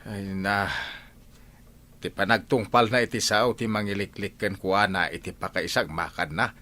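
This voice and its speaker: An actor playing an aging farmer, a resigned and grumbling village elder, in an Ilocano radio comedy drama. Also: male voice, on the low side, steady tone, normal speed, pushed and bright. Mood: disapproving. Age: older.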